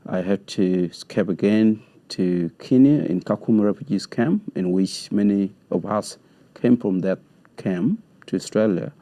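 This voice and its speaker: Guy being interviewed, low dark voice, slow halting answers, dry sound. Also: no room sound. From South Sudan. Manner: measured, halting, with non-native grammar. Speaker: guest, community leader.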